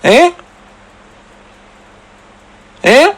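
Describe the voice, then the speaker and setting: Slow, emphatic man. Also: very high-pitched, very animated. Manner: rhetorical questions, emphatic patois asides. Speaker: commentary channel host. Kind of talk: opinionated solo commentary.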